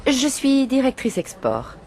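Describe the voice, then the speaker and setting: A woman's voice: high voice, sing-song, measured pace, vocal fry, darkish tone, exaggerated voice. A woman acting as a polite everyday character in a scripted language-lesson dialogue. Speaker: dialogue actor.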